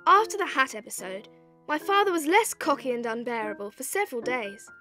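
A high-voiced girl narrator, music behind. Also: animated, a little fast, sharp tone. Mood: resigned. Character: young girl narrator, long-suffering and composed. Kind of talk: dramatized audiobook narration.

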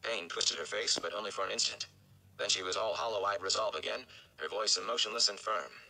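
Male reader, thirties, dramatic storybook narration: low pitch, gravelly creak, forceful delivery. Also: brisk, low-quality recording. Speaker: read-along reader. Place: indoor room at home.

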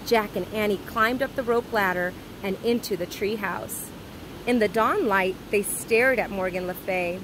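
A woman's voice: medium pitch, moderate pace. A woman reading a children's story aloud expressively, like a teacher, recorded outdoors. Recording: clear outdoor ambient noise.

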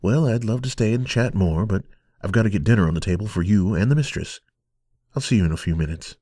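A man voicing a kindly, fatherly talking teapot in an audio drama, gentle and reassuring. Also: on the low side, expressive, fast talker, husky, dry recording, clean studio sound.

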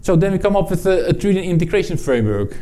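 A man from the Netherlands with a Dutch accent, presenting a technical product talk at a conference, halting, with many fillers and restarts. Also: high voice, sing-song, mellow. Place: conference room with audience.

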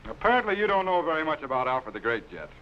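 A wry, commanding space captain from a vintage TV serial. He is precise and dry, with a fairly high, lively voice over faint film noise. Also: fast talker, rough recording. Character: wry, authoritative male space explorer captain. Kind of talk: 1950s TV sci-fi acting.

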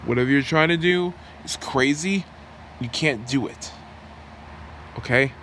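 A man voicing an earnest, cheeky and defiant young superhero in an amateur ASMR roleplay, quippy and animated. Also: medium pitch, a little slow, natural voice. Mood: defiant, pleading. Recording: noisy room hum.

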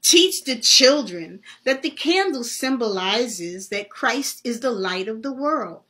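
Woman reading as slow, solemn, earnest Santa Claus. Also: a little high, animated, sharp tone, heavily acted. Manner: slow, emphatic, preacherly.

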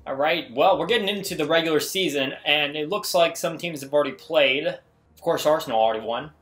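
A man's voice: a little high, fairly quick, a bit bright. A man giving casual, exclamatory gameplay commentary as a video host, often putting himself down. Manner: self-deprecating, exclamatory.

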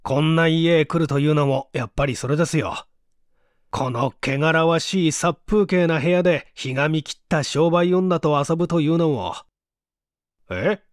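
A man voicing an eager, eccentric young mystery fanatic in polite, excitable audiobook dialogue. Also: fairly high pitch, moderate pace, dry recording, clean studio sound, natural voice. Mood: enthusiastic. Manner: polite, excitable, animated speech.

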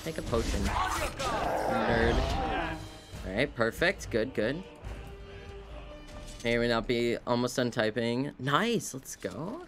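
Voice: mid-range voice, very animated, slow, long pauses, music in the background. A video game streamer giving casual live commentary, rambling and wryly talking to themself and the game. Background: video game sounds.

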